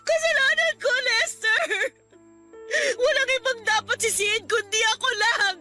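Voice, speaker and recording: A woman's voice: extremely high pitch, moderate pace, bright, forceful tone, soft background music. A woman voicing a grieving young wife who blames herself, tearful and pleading, in a radio drama. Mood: sobbing. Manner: tearful, pleading, self-blaming. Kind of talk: Filipino radio drama acting.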